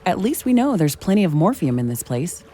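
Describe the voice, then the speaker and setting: A woman's voice: on the low side, sing-song, fairly quick, very noisy. A woman playing a determined scientist-commando in a video game, speaking in a composed, matter-of-fact, analytical way. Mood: determined, wry. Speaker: game protagonist. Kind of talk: scripted video game dialogue.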